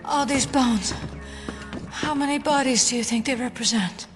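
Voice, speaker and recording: Woman acting shaken but defiant fbi agent lady, high voice, tense n hesitant, audio drama w/ music behind. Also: normal speed, close to an everyday voice. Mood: shaken, subdued.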